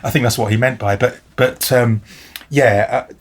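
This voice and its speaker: Husky man, wry and thoughtful. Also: medium pitch, steady tone, moderate pace. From South Wales. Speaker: guest music journalist. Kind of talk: casual podcast conversation.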